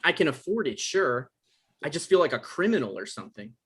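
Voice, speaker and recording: A man's voice: a little high, very animated, a bit bright, slightly rough, full character voice. A man playing an anxious, apologetic male writer who gets defensive and stammers, in a screenplay table read. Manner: halting, stammering.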